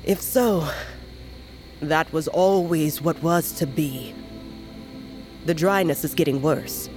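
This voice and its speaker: Slow-spoken, solemn old shaman woman. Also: low voice. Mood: solemn, steady. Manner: solemn, measured, cryptic. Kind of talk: fantasy audio drama acting. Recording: music underneath.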